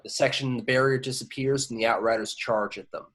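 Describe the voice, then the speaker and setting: A man narrates screenplay action in a middle-pitched voice. He reads it plainly, as part of an amateur table read. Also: fairly even intonation, fast talker, fairly bright tone. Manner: stumbles on invented place names. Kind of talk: reading screenplay action lines.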